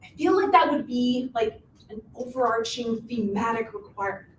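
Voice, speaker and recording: A woman playing a high-voiced, bossy plotting witch. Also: moderate pace, close to an everyday voice. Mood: brainstorming. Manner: animated, insistent, exasperated. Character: bossy, scheming witch improvising a story's plot. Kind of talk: live dramatic reading of a comic play. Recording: distant, echoey room recording with hiss.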